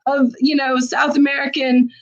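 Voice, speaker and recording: A woman's voice: sits high, little pitch movement, moderate pace, lo-fi sound. A woman hosting a livestream fundraiser, losing her voice, urging viewers with urgent, exhorting talk. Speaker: livestream host.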